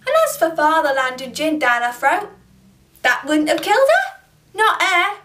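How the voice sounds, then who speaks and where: A woman's voice: sits high, lively intonation, normal speed, fairly bright tone. A woman playing a brash young flower girl with a Cockney accent, gossiping in a chatty way, recorded from a distance. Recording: distant camera mic.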